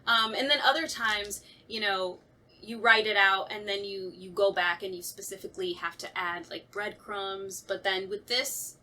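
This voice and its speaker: A woman's voice: medium pitch, steady tone, moderate pace, sharp tone. A woman writer chatting casually about her creative process. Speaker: radio play writer. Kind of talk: casual chat about writing.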